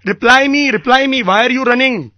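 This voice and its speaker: Man acting high-voiced, badgering irate Indian vendor. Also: extremely slow, bright, forceful tone, no room sound, exaggerated voice. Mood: mocking, badgering. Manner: badgering, repetitive, accusatory. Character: irate pushy male vendor demanding overdue payment. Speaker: prank caller. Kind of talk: prank phone call. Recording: dull lo-fi radio recording.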